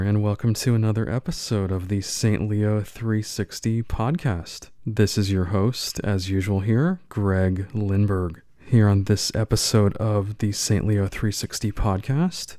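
A man hosts a podcast interview at an unhurried pace and pauses often on "uh." His voice is low and mellow. The sound is dry and close. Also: no echo. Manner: slow, measured, with frequent "uh" fillers. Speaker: podcast host.

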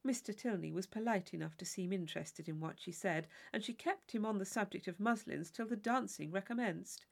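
A woman narrating a classic novel from a script, in an expressive, measured storytelling manner. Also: mid-range voice, vocal fry. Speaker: audiobook narrator.

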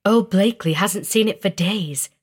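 A woman playing a quiet, uneasy young girl in an audio story, speaking in a hushed, matter-of-fact way. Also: mid-range voice, moderate pace, breathy edge, lightly acted. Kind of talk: audio story character acting.

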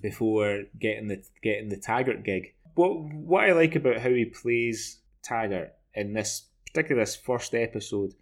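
A man in his forties with a Glaswegian Scottish accent, chatting casually as a podcast co-host in a rambling, halting way. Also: on the low side. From Glasgow, Scotland. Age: 40s. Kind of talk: casual discussion of television.

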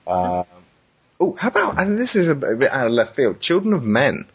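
A man's voice: mid-range voice, wildly swooping pitch, normal speed, husky, lo-fi sound. A man hosting a casual video game podcast, talking in an informal, conversational way. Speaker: podcast host. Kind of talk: casual chat about video games.

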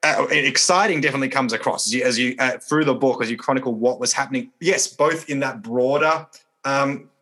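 A man's voice: medium pitch, brisk, pushed and bright, breathy edge. A man hosting a podcast interview in a conversational, rambling and hesitant way. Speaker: podcast host, church minister.

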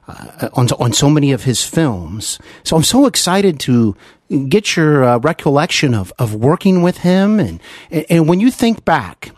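Man, American accent, interview host, effusive, hesitant phrasing, animated pitch, dry recording. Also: no echo. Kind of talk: conversational interview.

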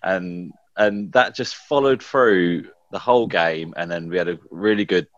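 A man with a very low voice tells old stories in a loose, rambling way, laughing easily as he goes. The sound is dry and a little dull, like a home podcast recording. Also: a little slow, dry recording. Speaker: podcast guest, former goalkeeper. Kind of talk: casual reminiscing chat.

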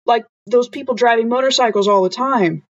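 A woman's voice: fairly high pitch, a little fast, no room sound, muffled recording. A woman playing a tabletop roleplaying game, talking casually with her fellow players.

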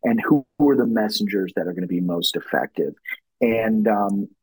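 A man's voice: fairly low pitch, moderate pace, darkish tone, husky, no echo, poor audio. A middle-aged man from Louisiana, a brand strategist talking in a podcast interview, rambling with many restarts and fillers.

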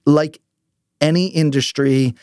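A man's voice: on the high side, steady tone, slow, a little mellow, smooth, dry recording, studio quality. A man hosting an interview in a casual, conversational way, informal and affirming with his guest. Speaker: podcast host interviewing a guest.

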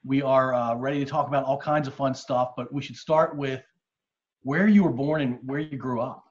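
A man's voice: medium pitch, fairly even intonation, normal speed. A male podcast co-host asking chatty, joking interview questions. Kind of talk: casual interview. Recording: dull lo-fi sound with faint noise.

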